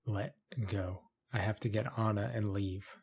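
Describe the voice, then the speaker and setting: A man playing a tired, guarded fugitive in a fantasy audio drama, speaking in a low-key way. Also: steady tone, unhurried, creaky, darkish tone, husky, heavily acted. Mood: worried, guarded. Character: weary, wary fugitive man in a fantasy town.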